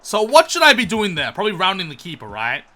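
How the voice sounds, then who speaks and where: A young man hosting a gaming video in a casual, chatty way, his voice slightly croaky and strained, and he bursts into loud excited shouts. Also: sits high, fast talker. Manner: chatty, calls viewers boys, erupts into loud excited shouts.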